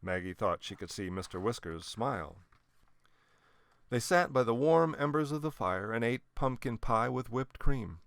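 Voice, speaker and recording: A man narrating a children's storybook in an expressive way with dramatic emphasis. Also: mid-range voice, normal speed, no room sound. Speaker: storybook reader.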